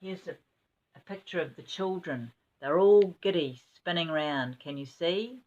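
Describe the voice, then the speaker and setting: A woman's voice: fairly low pitch, lively intonation, a little slow, darkish tone, recorded from a distance, muffled recording. An older woman reading a children's storybook aloud as a grandmother would, expressively and with small asides to her listeners.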